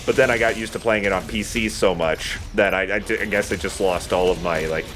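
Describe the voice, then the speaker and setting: A man's voice: low voice, brisk, fairly bright tone, slight background noise. A man giving casual, rambling gaming commentary as a let's play host, sometimes swearing.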